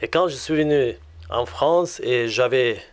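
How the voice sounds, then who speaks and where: A man from Lebanon with a Lebanese accent, giving a personal religious testimony in a halting way that often circles back on itself. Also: medium pitch.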